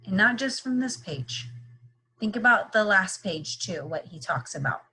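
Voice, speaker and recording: A woman's voice: medium pitch, lively intonation, measured pace, pushed and bright, slightly rough, rough recording. A woman leading an interactive read-aloud lesson for young children, explaining simply and checking in with repeated questions. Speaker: teacher reading a picture book aloud.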